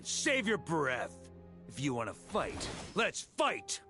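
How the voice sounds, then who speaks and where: A man's voice: a little high, wildly swooping pitch, takes it slowly, exaggerated voice. A man playing a bold, hearty knight commander in a video game, wry, blustering and fearless. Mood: defiant. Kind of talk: dramatic video game voice acting. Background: dramatic background music.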